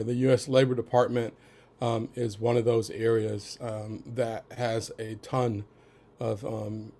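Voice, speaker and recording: A man who is under the weather, with a raspy voice, asking a careful, halting question in a webinar. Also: medium pitch, darkish tone. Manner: halting, many ums, careful phrasing. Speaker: audience member asking a question.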